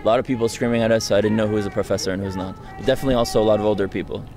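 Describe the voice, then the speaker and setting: A young man from France giving a street interview outdoors on a campus, talking fast and insistently into a handheld mic. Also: fairly low pitch, fairly even intonation, music in the background. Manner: fast, insistent, argumentative, frequent tag "right?". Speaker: interviewee, student activist. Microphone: handheld mic held close by interviewer.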